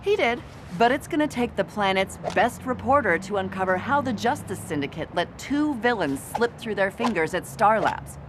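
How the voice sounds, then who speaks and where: A woman voicing a confident, skeptical star reporter in an animated video game, wry and self-assured. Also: medium pitch, normal speed, few pauses, natural voice. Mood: confident, suspicious. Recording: vehicle and ambient noise behind.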